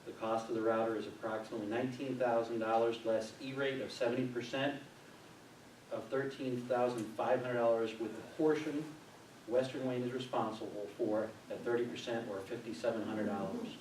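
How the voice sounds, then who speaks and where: A man's voice: mid-range voice, fairly even intonation, fairly quick, a little mellow. A man who is a board member reads a formal motion aloud in a meeting, picked up from across the room. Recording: echoey room, distant microphone, faint noise.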